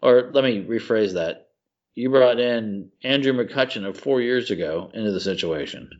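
A man's voice: medium pitch, animated, moderate pace, highs cut off. A man co-hosting a casual podcast, talking in an effusive, slangy way and repeating himself for emphasis. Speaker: podcast co-host. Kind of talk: casual chat about video games and movies.

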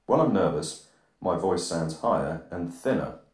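A man hosting an absurdist comedy podcast, rambling deadpan monologue recorded on a phone. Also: deep voice, unhurried. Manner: deadpan, rambling, drops articles. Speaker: podcast host. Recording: phone recording with reverb plugin, bathroom echo.

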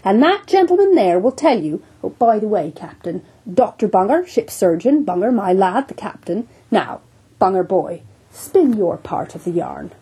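A woman's voice: mid-range voice, very animated, normal speed, mellow, husky, heavily acted. A woman voicing a jovial, teasing one-armed English sea captain in a storybook reading. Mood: hearty. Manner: boisterous, teasing, hearty.

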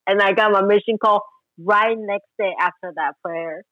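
A woman's voice: mid-range voice, steady tone, moderate pace, no room sound, muffled recording. A woman from Taiwan in her forties, a guest telling her story with many fillers. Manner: warm storytelling, frequent fillers. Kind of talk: interview conversation.

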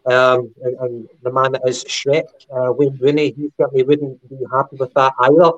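A man co-hosting a podcast, going through football news in a measured, casual way with lots of ums and uhs. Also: medium pitch, level tone, dull audio. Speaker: podcast co-host.

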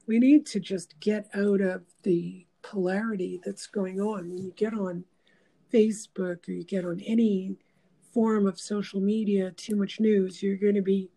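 A woman's voice: medium pitch, dark tone, poor audio. A woman hosting a casual chat, talking in a meandering, reflective way with many fillers. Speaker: host.

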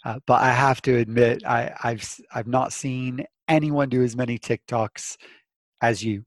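A man's voice: medium pitch, fairly even intonation, a little slow, no echo. Male host, friendly and teasing, video call with a dry, close sound. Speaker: podcast host. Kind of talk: casual interview chat.

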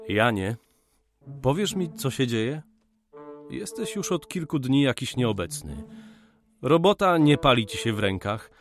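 Wry best-friend role, period radio drama male actor: mid-range, lively intonation, practical and teasing. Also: mid-range voice, measured pace, pauses often, soft background music. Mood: concerned, curious. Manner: wry, matter-of-fact, friendly. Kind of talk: Polish radio play acting.

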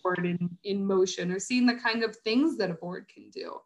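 A woman's voice: medium pitch, moderate pace. Female interview guest, American, mid-range voice. Earnest and chatty, working out her answers as she talks. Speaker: interview guest, nonprofit coordinator and mentor.

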